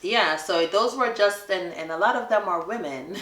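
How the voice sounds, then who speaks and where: A middle-aged woman with a Jamaican accent, telling her son stories in a relaxed family question-and-answer chat and laughing often. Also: mid-range voice, moderate pace, reverberant. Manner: warm storytelling, laughs often.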